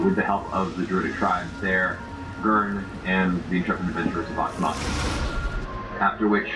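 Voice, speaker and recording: A man narrating a tabletop game as game master, in a formal, florid storytelling way with pauses. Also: low voice. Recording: roomy distant mic, clearly noisy background.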